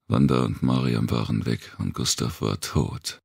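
A man with a gravelly, husky voice, giving a brooding, deliberate first-person audiobook narration of dark fiction. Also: rumbling low, steady tone, dry recording, clean studio sound.

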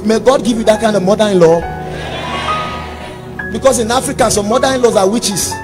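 A man's voice: high-pitched, fairly quick. A man with a Nigerian accent preaching an impassioned sermon, emphatic and repeating his phrases. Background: background music.

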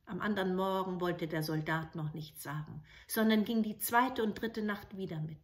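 A woman's voice: medium pitch, moderate pace. A middle-aged woman narrating a fairy tale expressively, as a storyteller. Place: indoors, living room with books.

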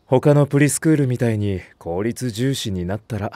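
Man acting as senior male teacher, mid-pitched, composed, patient, wide intonation, voice drama. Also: expressive, moderate pace, dry recording, close to an everyday voice. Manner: patient, composed, gently firm. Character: calm, fatherly senior male nursery teacher.

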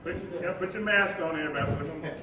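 A man's voice: a little high, very fast, recorded from a distance. A man giving casual, bossy instructions to a group, repeating himself as he organizes them. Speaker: organizer directing a group photo. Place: large hall, away from the mic. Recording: very echoey hall from afar, crowd.

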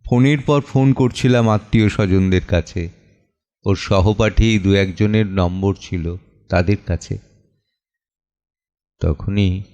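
A man playing an anguished, weary father in an audio drama monologue, speaking theatrically with heavy pauses. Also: on the low side, mellow, dull audio. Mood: haunted, grieving.

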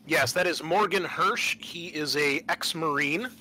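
Bright-voiced man narrating a tabletop game. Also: on the high side, measured pace, low-quality recording. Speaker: game master. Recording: noticeable background noise.

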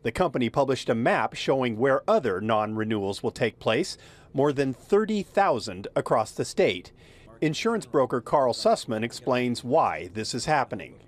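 An older man reporting a local news story in a measured narration. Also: mid-range voice. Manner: measured, lively reporting delivery. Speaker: field reporter.

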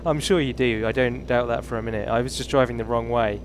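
A man's voice: mid-range voice, fairly even intonation, brisk. Chatty British man streaming a game. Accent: British English. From England. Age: 30s. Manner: rambling, self-mocking, chuckling. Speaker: livestream host. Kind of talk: casual gaming livestream chat. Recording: game engine noise behind. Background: truck engine and road noise from the game.

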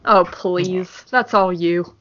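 Podcast co-host, female, higher voice, very unhurried, brief casual interjections, muffled sound. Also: steady tone, fairly bright tone. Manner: short, informal interjections. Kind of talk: casual chat.

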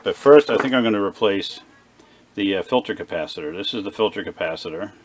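A man giving a casual, unscripted hands-on repair demonstration in a plain, halting, explanatory way at a workshop bench. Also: on the low side, expressive, slight background noise. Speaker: presenter of a radio repair video. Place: small indoor workshop at a workbench.

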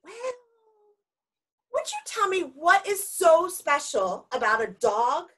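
A woman's voice: sits high, sing-song, slow pace, pushed and bright, full character voice. A woman playing an eager, persuasive animal shelter worker in a children's storybook, with coaxing, exaggerated delivery. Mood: polite. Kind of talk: theatrical children's storybook read-aloud.

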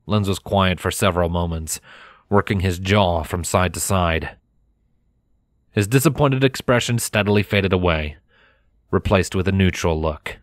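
A man reading scripted fiction as a narrator, measured and expressive in his storytelling. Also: sits low, no echo, studio quality. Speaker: narrator of a fan fiction audiobook. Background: music.

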